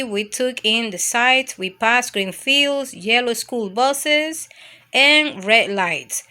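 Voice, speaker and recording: A woman's voice: sits high, sharp tone, full character voice. A woman voicing a mischievous, adventure-hungry dog who tells its own storybook escapade. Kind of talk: storybook first-person narration.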